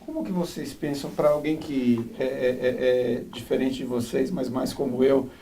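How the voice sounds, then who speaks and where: A male moderator with a mellow, warm tone and a mid-range voice. He poses long questions to the panel, padding them with hesitations and tag words. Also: medium pitch, steady tone, normal speed, gravelly, a little hiss or hum, poor audio. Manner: questioning, lots of filler "né" and "é". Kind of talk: panel discussion.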